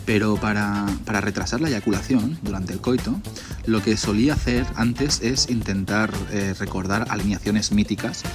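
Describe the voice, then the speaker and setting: A man's voice: on the low side, moderate pace, music in the background. A young man chatting casually and nostalgically as he leafs through an old sticker album, rambling and swearing freely. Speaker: sticker album collector host.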